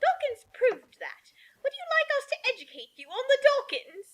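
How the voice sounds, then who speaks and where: A woman's voice: very high voice, big pitch swings, moderate pace, voice pushed up. A woman voicing a snooty, scornful suburban aunt in a comic story reading. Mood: scornful, smug.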